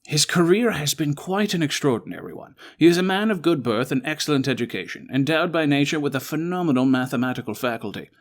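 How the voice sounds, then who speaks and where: A man's voice: on the high side, lively intonation, fast, few pauses. A man playing a brilliant, weary but resolute Victorian detective in a one-man audio drama, articulate, formal and emphatic. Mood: intense, emphatic.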